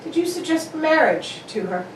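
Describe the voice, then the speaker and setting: A woman playing a shrewd, probing gentleman detective in a formal radio-play style. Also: high voice, very animated, normal speed. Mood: probing, stern. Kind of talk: amateur radio-play acting. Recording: roomy, distant, noisy live recording.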